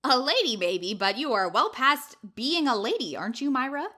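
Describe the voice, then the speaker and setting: A brash young woman sleuth in an audio drama, played by an actress, with a high, bright voice that swings widely. She is self-important and theatrical, quick to boast and to accuse. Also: very animated, exaggerated voice. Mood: smug, accusing.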